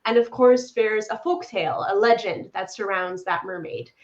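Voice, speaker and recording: A woman's voice: medium pitch, normal speed. A woman in her thirties from Poland, an animator chatting upbeat and enthusiastic as an interview guest over a video call. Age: 30s. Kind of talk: casual interview chat. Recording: echoey room, dull lo-fi sound.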